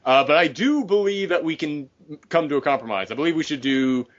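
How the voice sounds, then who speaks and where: A man's voice: a little high, expressive, fairly quick. A man chatting casually as a podcast member about films, rambling and qualifying as he goes. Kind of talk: casual panel chat. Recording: dull lo-fi online call.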